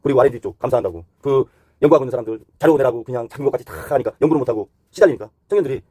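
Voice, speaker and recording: A man's voice: mid-range voice, expressive, very fast, warm tone, breathy edge, no echo, studio quality. A man hosting a current-affairs opinion monologue in an earnest, critical manner, posing rhetorical questions. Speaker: host and commentator.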